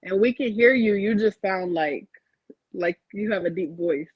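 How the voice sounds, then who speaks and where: A woman guest on a live podcast, talking in a blunt, animated way. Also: mid-range voice, unhurried, breathy edge, dull audio. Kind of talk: casual live podcast chat.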